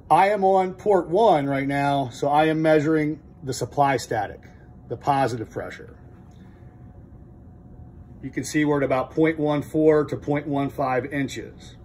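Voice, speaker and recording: A man's voice: medium pitch, a little mellow. A middle-aged man teaching HVAC in a training room, explaining step by step with plain, methodical talk and frequent restarts. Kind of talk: hands-on technical demonstration. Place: indoor training room with a furnace.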